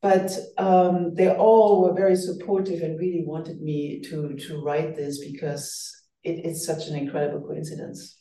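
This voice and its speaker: A woman's voice: low-pitched, little pitch movement, unhurried, hardly any pauses, mellow, roomy sound, recorded from a distance, rough recording. A woman whose first language is German, speaking as an author in an earnest, reflective interview and often restarting her phrases. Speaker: author guest. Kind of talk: radio interview.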